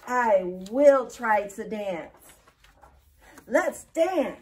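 A woman's voice: fairly high pitch, lively intonation, a little mellow. A woman voicing an earnest, easily frustrated elephant in a theatrical children's storybook reading. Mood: hesitant, earnest. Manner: drawn-out, theatrical storybook delivery. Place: indoor library meeting room. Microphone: camera several feet away.

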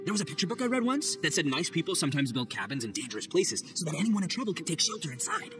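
A brash, goofy oni gang boss who boasts and shouts in a wildly swinging, fairly high voice. His loud swagger runs over game music playing clearly behind. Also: sing-song, racing. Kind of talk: video game cutscene voice acting.

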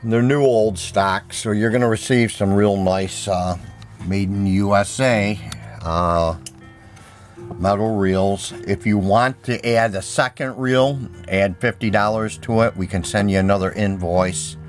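A man's voice: fairly low pitch, steady tone, unhurried, faint music behind. A middle-aged man giving a casual, plainspoken product demo with repetitive sales patter, recorded on a handheld camera indoors. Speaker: seller demonstrating used audio gear. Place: indoor room at home, music playing behind. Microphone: handheld camera mic, speaker behind camera.

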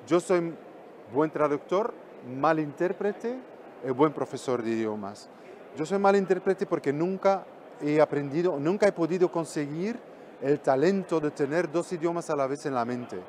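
A middle-aged man from Belgium speaks, his voice sitting a little high for a man. He gives an animated conference talk and hammers home points by repeating them. A faint hum of noise sits under the recording, picked up by a camera at a distance. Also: normal speed. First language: Flemish. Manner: animated, gestures constantly with both hands, repeats points for emphasis. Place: exhibition stand in a hall.

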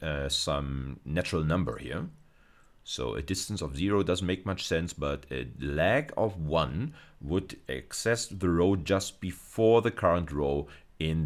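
A middle-aged man speaks in a low register. He lectures like a university teacher on video, explaining step by step. His phrasing is measured and emphatic, punctuated by brief checking tags. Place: indoors, recorded lecture over slides.

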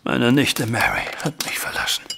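An actor playing a distraught, wealthy older banker in a German audio drama, husky and agitated in his delivery. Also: mid-range voice, huge pitch swings, moderate pace, sharp tone, whispered, exaggerated voice. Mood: despairing. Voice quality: husky, raspy.

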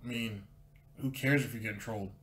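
A man's voice: fairly low pitch, steady tone, a little fast, fairly bright tone. A man hosting commentary, answering clips with dry, sarcastic asides. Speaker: commentary host.

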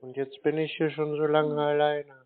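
A man's voice: a little high, monotone, moderate pace. A man in a confused-sounding phone conversation, naive and hesitant, stalling as he talks. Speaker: prank caller answering phone scammers. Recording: tinny narrowband phone line.

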